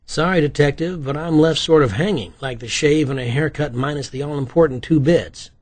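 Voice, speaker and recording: A man's voice: a little high, brisk, a little mellow, voice pushed up, natural voice. A man voicing a teasing, theatrical wisecracker who tags along with police, in an audiobook.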